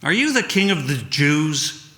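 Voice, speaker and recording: Roman governor for a biblical drama, read by a male narrator: commanding, questioning, slow declamation, forceful tone, echoey room. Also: on the high side, very animated, exaggerated voice. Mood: cool, questioning. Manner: ringing, deliberate, declamatory.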